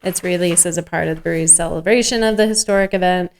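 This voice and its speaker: A woman's voice: on the low side, fast talker. A woman co-hosting a podcast, chatting casually and reading out items in a jokey, enthusiastic way. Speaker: podcast co-host. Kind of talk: casual chat with read-out news items.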